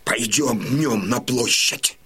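An actor playing a stern, terse old male Cossack warrior with a low, gravelly voice in an audio drama. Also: slow pace, pushed and bright, exaggerated voice. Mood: grim, resolute. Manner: terse, commanding.

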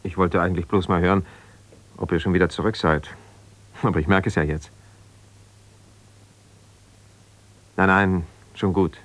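An actor playing an aggrieved, jealous husband, an ambitious lawyer, in a naturalistic radio drama, talking in a halting, colloquial, self-justifying way as if confiding on the phone. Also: male voice, deep voice, steady tone, fairly bright tone. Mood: awkward, hesitant.

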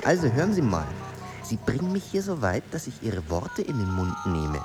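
An actor playing a fastidious, brooding gentleman in a radio drama, easily unsettled, speaking in a theatrical, halting way. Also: fairly low pitch, wildly swooping pitch, over music. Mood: irritated, puzzled.

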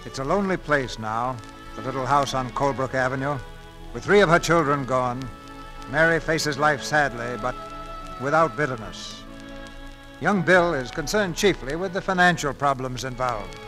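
Bright-voiced male radio narrator. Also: mid-range voice, animated, normal speed, low-quality recording. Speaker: host and narrator. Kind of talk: scripted 1940s radio drama narration. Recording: vintage 1940s radio, music behind.

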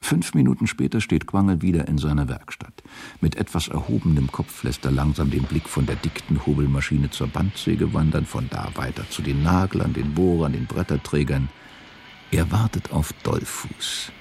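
A man narrating a radio drama from a script in a measured, sober manner. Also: a bass voice, creaky, darkish tone, a little hiss or hum.

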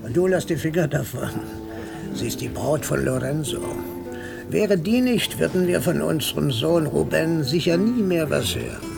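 An actor playing a bitter, grumbling older father in a radio play, with a gravelly, husky voice. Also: fairly high pitch, expressive, music in the background. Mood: tipsy gossip. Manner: slow, grumbling. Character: bitter, grumbling old father in poor household.

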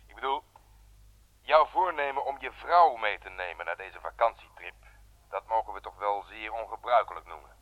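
Man acting guarded spymaster, disapproving, low pitch, no room echo, understated, radio drama. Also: normal speed, highs cut off, natural voice.

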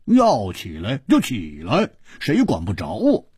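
A man's voice: on the low side, huge pitch swings, measured pace, a little mellow, breathy edge, no room sound, clean studio sound, voice pushed down, exaggerated voice. A man voicing a cocky, hot-tempered swordsman in an audiobook, drawling and teasing.

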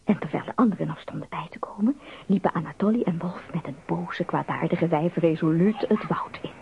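A woman's voice: fairly low pitch, brisk, gravelly. A woman narrating a radio drama as a scripted storyteller. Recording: tinny, faintly noisy narrow-band recording.

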